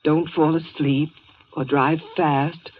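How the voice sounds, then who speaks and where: Husky anxious mother, tinny radio. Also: sits low, fairly even intonation, slow, full character voice. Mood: worried, pleading. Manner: fretful, pleading. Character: anxious, doting mother of a grown son. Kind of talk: 1940s radio drama acting. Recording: tinny, phone-like old radio recording.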